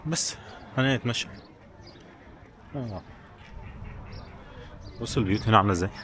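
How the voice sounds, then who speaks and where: A man's voice: lowish voice, a little fast. A man with an Egyptian Arabic accent chatting informally to viewers as a travel vlogger, in a casual walking style. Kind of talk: casual walking vlog chat, talking to viewers. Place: mostly outdoors, walking through a tourist village. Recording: outdoor tourist spot with crowd noise. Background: people's voices.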